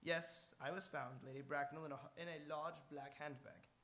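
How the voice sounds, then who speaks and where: A man acting in live radio theatre as a proper, earnest, easily flustered young English gentleman. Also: a little high, steady tone, moderate pace. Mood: nervous, polite. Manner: proper, hesitant, nervous.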